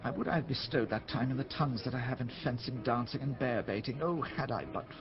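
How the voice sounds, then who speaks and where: An actor playing a vain, slow-witted, timid gentleman knight in a Shakespearean radio drama, hesitant and earnest. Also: mid-range voice, highs cut off, close to an everyday voice. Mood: glum.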